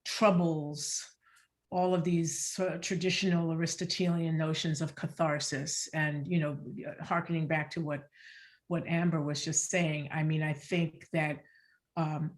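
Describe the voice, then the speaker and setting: A woman speaking as a theater artist on a panel, scholarly and deliberate, searching carefully for her phrasing. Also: sits low. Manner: deliberate, searching, articulate, emphasizes key words. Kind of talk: scholarly, reflective panel discussion.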